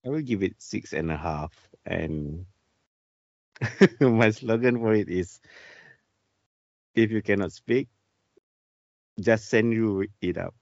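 A man's voice: on the low side, slow pace, pauses often, darkish tone, no echo, muffled recording. A man co-hosting a podcast, laid-back, answering with short agreeing remarks. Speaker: podcast co-host. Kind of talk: casual chat.

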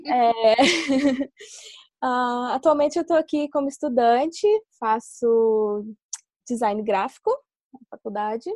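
A woman's voice: fairly high pitch, slow pace, pauses often, darkish tone, dry recording. A woman in her twenties with a Brazilian accent from Minas Gerais, chatting shyly and hesitantly as a podcast guest. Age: 20s. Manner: shy, hesitant. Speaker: podcast guest, design student. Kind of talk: casual chat.

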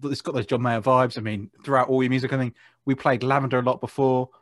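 A man's voice: medium pitch, flat delivery, fast talker, no room sound, studio quality. A British man hosting a casual music interview, chatting in an encouraging, affirming way. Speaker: interviewer, music show host.

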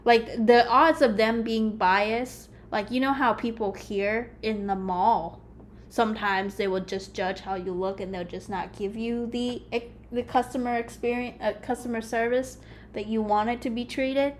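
Bright high-voiced young woman, chatty. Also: fairly even intonation, unhurried, a little hiss or hum. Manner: chatty, laughs readily, acts out voices. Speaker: podcast co-host. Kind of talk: casual podcast chat.

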